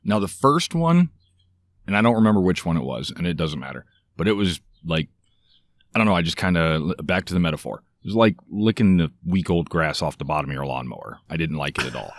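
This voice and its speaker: A man with a very low, deep voice chats loosely on a podcast, wandering into odd, jokey comparisons. The sound is close and dry, with no room ring around him. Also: animated, a little fast, dry recording. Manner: rambling, jokey, fond of odd metaphors. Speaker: podcast co-host. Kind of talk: casual chat. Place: outdoors, light breeze.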